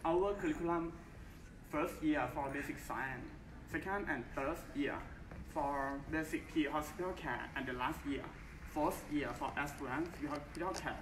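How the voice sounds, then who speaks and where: A young man from Thailand with a Thai accent, giving a formal research talk in careful, halting English at a conference. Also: fairly high pitch. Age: young adult. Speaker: conference presenter. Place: large bright conference hall. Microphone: far camera, no mic. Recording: echoey hall from far off, faint hiss.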